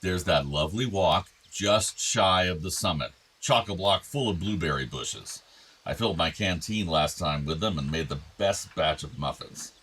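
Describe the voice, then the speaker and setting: An actor playing an ailing, tender older father in a radio play, reflective and gently earnest. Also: low voice, expressive, moderate pace, breathy edge, heavily acted. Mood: fond, wistful. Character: ailing, tender, wistful aging father of two grown sons.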